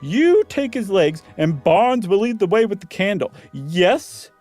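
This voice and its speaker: A man's voice: high-pitched, very animated, unhurried, darkish tone, soft background music, voice pushed up, heavily acted. Man playing a swoopy, theatrical smirking skeptic. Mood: urgent, bluffing. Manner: theatrical, taunting, exclaiming. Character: Meagle, smirking, boisterous, teasing, mocking young male skeptic. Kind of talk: dramatic reading of a horror short story.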